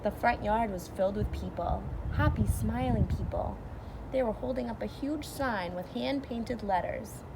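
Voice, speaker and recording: A woman teacher reading a children's storybook aloud, expressively, and pausing to explain it simply. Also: on the high side. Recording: clear background noise.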